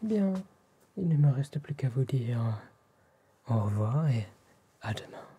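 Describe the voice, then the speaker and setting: A man with a French accent whispers softly and breathily in an ASMR roleplay, addressing the listener politely and formally as an attentive tailor, close to the microphone. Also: mid-range voice, big pitch swings, a little slow. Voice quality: soft, breathy whispering and hushed speech.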